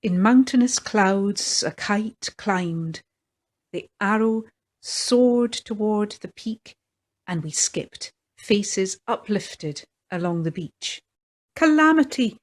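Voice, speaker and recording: A woman's voice: medium pitch, animated, slow pace. A woman reading her own picture book aloud in a lilting storytelling manner. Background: faint fiddle music.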